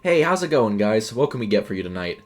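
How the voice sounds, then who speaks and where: A man voicing an easygoing male fast-food counter worker who talks offhandedly in an audio story. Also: lowish voice, big pitch swings, darkish tone. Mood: breezy. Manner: offhand, informal.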